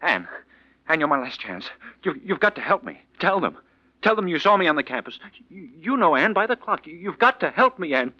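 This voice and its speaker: An actor playing a desperate, weary professor who stammers urgently in a 1940s radio mystery. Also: male voice, fairly high pitch, sing-song, fairly quick, sharp tone, full character voice. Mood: desperate pleading. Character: weary, desperate professor falsely suspected of murder. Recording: dull vintage radio recording.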